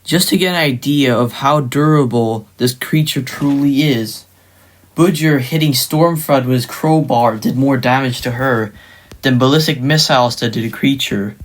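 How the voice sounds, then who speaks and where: A man's voice: medium pitch, moderate pace. Man narrating a scripted versus-battle explainer. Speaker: video narrator.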